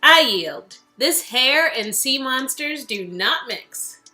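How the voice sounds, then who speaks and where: A woman's voice: high voice, animated, slow, sharp tone, faint music behind, exaggerated voice. A woman playing a playful, affectionate young woman in an interactive story game, with a theatrical, teasing manner. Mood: soft, playful.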